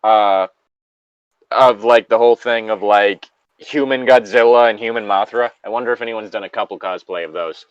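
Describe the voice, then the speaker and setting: A man's voice: lowish voice, normal speed, clear, resonant tone, no echo, highs cut off. A man in a casual, joking group chat, with a crude, profane way of talking.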